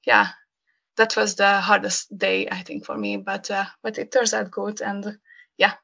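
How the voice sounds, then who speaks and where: Hungarian woman, hesitant and bright. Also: mid-range voice, fairly even intonation, unhurried, vocal fry, breathy edge, muffled recording. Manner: hesitant, many fillers, laughs often. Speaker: podcast guest. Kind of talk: casual interview conversation.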